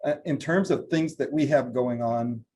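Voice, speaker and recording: A man, a brand president, giving a trade interview and running through product lines with steady talk and frequent fillers. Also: medium pitch, normal speed. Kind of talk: business interview, product rundown.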